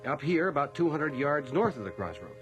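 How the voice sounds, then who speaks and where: Animated, earnest police constable. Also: male voice, on the high side, brisk, lo-fi sound, over music. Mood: earnest, explaining. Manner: earnest, deferential. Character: eager, deferential young police constable, stiffly official. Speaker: actor in radio drama. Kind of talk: radio drama acting.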